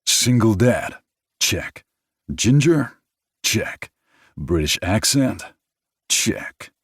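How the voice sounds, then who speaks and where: A man's voice: on the low side, big pitch swings, very slow, husky, no room sound, clean studio sound, voice pushed up, full character voice. A man playing Kane, a joking rival. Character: joking, competitive adult man.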